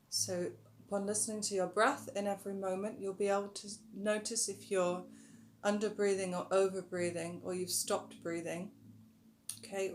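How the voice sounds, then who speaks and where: A woman teaching a yoga breathing technique in a calm, instructive way, her voice a little rough today, sometimes stretching words slowly and dropping softer while guiding the breath. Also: medium pitch, a little hiss or hum. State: slightly rough voice. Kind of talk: breathing tutorial.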